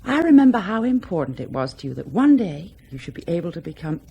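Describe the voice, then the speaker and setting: A brisk but caring female journalist in a radio play. Her voice is creaky and swings freely in pitch, over faint background noise. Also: big pitch swings, muffled recording. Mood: fond, reflective. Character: brisk, caring woman journalist, old flame of a pilot. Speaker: radio drama actor. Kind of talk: naturalistic radio drama dialogue.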